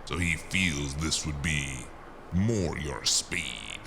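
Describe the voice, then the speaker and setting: Male actor doing underworld go-between, bottomless bass, unhurried, gravelly, businesslike, faintly menacing, noir audio drama. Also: animated, noisy background, heavily acted. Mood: persuasive. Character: smooth-talking, businesslike underworld henchman, calm negotiator.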